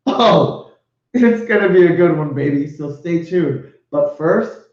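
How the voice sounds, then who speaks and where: A man's voice: on the high side, animated, slow pace. A man hosting a casual live show, effusive, swearing and laughing as he talks to guests. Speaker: host. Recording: echoey lo-fi stream audio.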